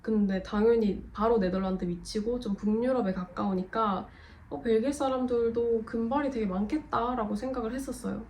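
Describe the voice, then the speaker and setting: A woman's voice: a little high, brisk, darkish tone, a little hiss or hum. A young Korean woman with a cold, co-hosting and chatting while she explains things casually. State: has a cold. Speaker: co-host of a couple channel. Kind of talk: casual chat.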